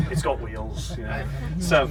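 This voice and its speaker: A man's voice: mid-range voice, takes it slowly. A man with a Liverpool accent working as a tour guide, giving chatty, jokey commentary to a busload of visitors. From Liverpool, England. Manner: chatty, jokey, rallying the crowd. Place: on a tour bus. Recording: distant voice with loud bus noise.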